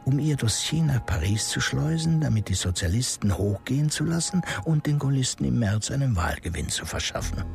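A man playing a calculating, powerful political fixer in a radio drama, speaking in a measured way. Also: mid-range voice, sing-song, vocal fry, a little mellow. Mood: brooding. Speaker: political operator. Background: music.

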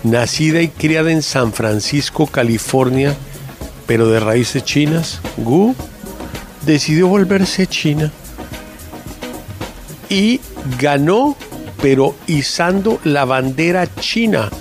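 A man's voice: on the high side, expressive, slow pace, pauses often, faint music behind. A man hosting a radio show, chatting casually in a joking, teasing and opinionated way.

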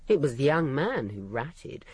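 Radio-drama housekeeper, educated English woman, husky, animated intonation, shrewd, dry, poised, gossipy. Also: extremely low pitch, measured pace, full character voice. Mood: gossipy, candid. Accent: English, educated upper-middle-class. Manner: poised, dry, candid, cutting.

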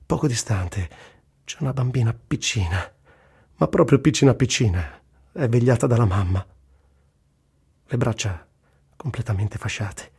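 A husky male voice at medium pitch tells a war journalist's own story for radio. He is tender and wry, mixing literary recitation with blunt, earthy colloquial turns. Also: unhurried, long pauses, a little mellow, full character voice. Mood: tender, sorrowful. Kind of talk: first-person narration in a radio reading.

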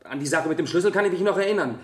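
Man acting a bitter cornered witness, higher-pitched. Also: lively intonation, reverberant, a crowd in the background, lightly acted. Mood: scornful, defiant. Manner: bitter, halting, contemptuous. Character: evasive, scornful male witness cornered in court. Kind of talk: 1970 German radio drama acting.

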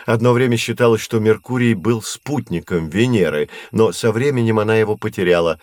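Patient professor played by a male actor, low register, measured teaching delivery, dry close sound, educational audio drama. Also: a little mellow, dry recording, lightly acted. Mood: instructive. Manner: explanatory, measured, didactic. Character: male astronomy professor, patient, knowledgeable teacher of curious children.

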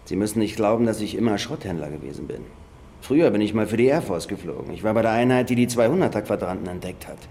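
An actor playing a gruff, wry scrap dealer and former air force pilot in a science fiction radio drama. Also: male voice, fairly low pitch, expressive, fast talker, darkish tone. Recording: clear noise behind.

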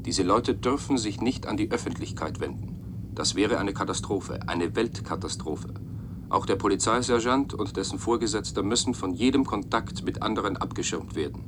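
A very low talking machine with a man's voice played by an actor recites letters in a radio play, punctuation and all. Clear noise runs through the recording. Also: normal speed, natural voice. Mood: reciting, urgent. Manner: speaks the punctuation, recites dictated text. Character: talking machine reading letters aloud, male voice. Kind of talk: German radio play acting.

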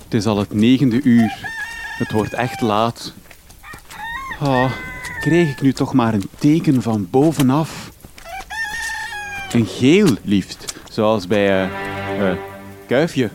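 An actor playing a naive, melodramatic young reporter with a Flemish accent in a gothic comedy radio play. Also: male voice, medium pitch, lively intonation, slow, pauses often, noisy background. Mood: wondering. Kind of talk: comic radio drama acting. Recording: music behind the voice.

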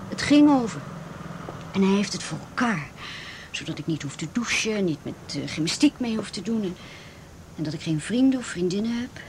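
A woman playing an anxious, guarded teenage girl who speaks haltingly in a radio play. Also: mid-range voice, lively intonation, a little mellow, slight background noise, lightly acted. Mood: bitter, resigned. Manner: halting, repeats words. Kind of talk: Dutch radio play acting.

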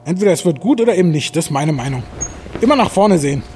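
An actor playing a talkative, stoically cheerful older hospital patient in a radio drama, folksy and sure of himself. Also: male voice, on the high side, lively intonation, quick pace, darkish tone, slight background noise, lightly acted. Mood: chatty, upbeat. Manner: garrulous, folksy, self-assured.

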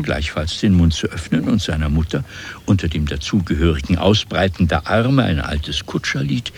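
Casting: male literary narrator, low husky voice, very lively intonation, radio drama.

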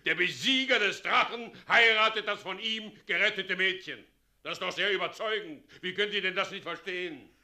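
An actor playing a scheming, pompous middle-aged president in a German radio play, swinging theatrically from commands to wheedling. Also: male voice, high voice, normal speed, bright, forceful tone, heavily acted. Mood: imperious, angry. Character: scheming, pompous tyrant president, doting mockingly on his son.

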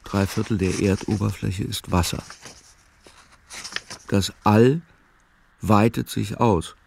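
An actor playing a pensive, solitary man musing over facts in a literary radio play, with a gravelly voice and measured, deliberate delivery. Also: lowish voice, steady tone, darkish tone, slight background noise.

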